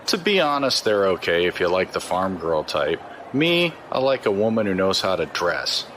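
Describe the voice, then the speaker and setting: An actor in a science fiction audio drama playing a cocky, folksy, corrupt security chief who talks in a chatty, colloquial way. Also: male voice, low voice, very animated, moderate pace, a bit bright, a little hiss or hum. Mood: chatty, friendly.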